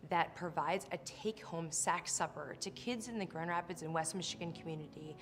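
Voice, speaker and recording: A woman's voice: lowish voice, a little fast, fairly bright tone. A woman speaking earnestly in a tribute video interview. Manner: earnest, rhetorical.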